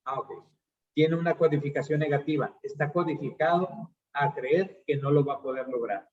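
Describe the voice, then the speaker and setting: Man, seminar lecturer, fairly high voice, muffled lo-fi audio. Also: normal speed. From Mexico. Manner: explanatory, uses fillers like "este". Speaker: addiction counseling lecturer.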